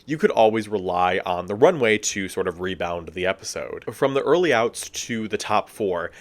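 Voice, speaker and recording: A man talks through a review in a chatty, expressive way. He fills his talk with casual asides and filler words. His voice sits fairly low. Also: normal speed. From United States. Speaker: video reviewer. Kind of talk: casual commentary.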